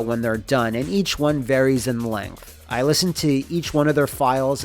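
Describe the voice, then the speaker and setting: A man narrates a behind-the-scenes explainer in a casual, conversational voice at an average pitch. He sounds like a developer talking informally through his own process, with music playing underneath.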